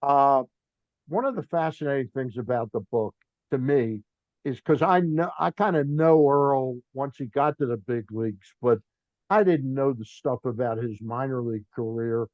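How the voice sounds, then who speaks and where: A man's voice: mid-range voice, normal speed, dry recording, highs cut off. A man hosting a sports talk show, chatting bluntly with a guest and reading sponsor plugs. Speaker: sports radio host. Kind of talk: casual interview chat.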